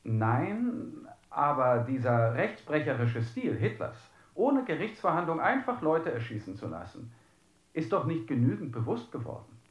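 A man's voice: on the high side, very animated, a little slow. An actor playing a persistent, probing male interviewer in a re-enacted documentary interview. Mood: insistent. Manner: insistent, questioning. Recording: echoey room, dull muffled sound.

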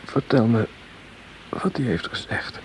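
An actor playing a wounded, feverish young communard in a radio drama, speaking haltingly and groaning in pain. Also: male voice, medium pitch, animated, natural voice. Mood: weak. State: in pain, weak. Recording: noisy old radio drama recording.

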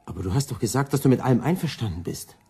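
An actor playing a quiet, doubting scholar friend in a German radio play, with a husky, gravelly voice and a hushed, questioning manner. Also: male voice, mid-range voice, lively intonation, rapid-fire, exaggerated voice. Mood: puzzled, doubtful. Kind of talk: German radio drama acting.

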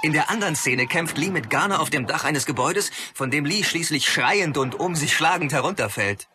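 An actor playing a keen commentator, explaining things with enthusiasm in a documentary-style radio play. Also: male voice, fairly high pitch, animated, brisk, natural voice. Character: keen male commentator on a martial arts star. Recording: clear background noise with clicks.

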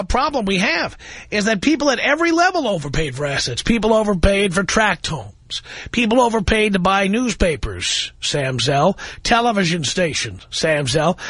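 A man's voice: sits high, a bit bright, no echo. A man hosting a political call-in radio show, blunt and lecturing, at times exasperated with callers. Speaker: radio talk show host.

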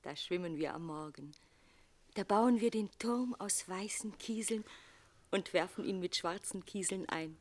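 An actress playing a young, dreamy lost bride in a German radio drama, lyrical and often near a whisper. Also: female voice, medium pitch, measured pace, lightly acted.

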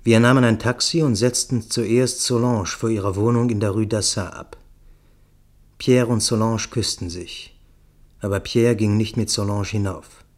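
A man acting as a storyteller in a radio play, with a fairly low voice. He recounts events in sober, measured literary prose, staying matter-of-fact throughout. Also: steady tone, close to an everyday voice. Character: sober male first-person narrator recounting a tense night. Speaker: narrator.

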